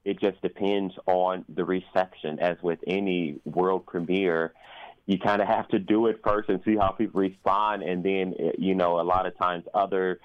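Male interview guest, American, low register. Thoughtful, deliberate answers with pauses, somewhat lo-fi sound. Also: steady tone, clean tone, no echo. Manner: measured, deliberate, pausing. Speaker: interview guest, opera performer and activist. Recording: dull, band-limited sound.

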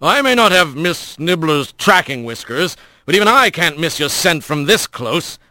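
A man voicing a stern, gruff father mouse who leads his clan, giving clipped orders. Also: a little high, sing-song, normal speed, pushed and bright, no room sound, full character voice.

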